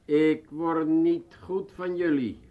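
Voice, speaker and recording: A self-important busybody in a comic radio play. His voice is high for a man, and he is prim, pedantic and insistent about every small point. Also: steady tone, takes it slowly, phone-quality sound. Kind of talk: comic radio drama acting.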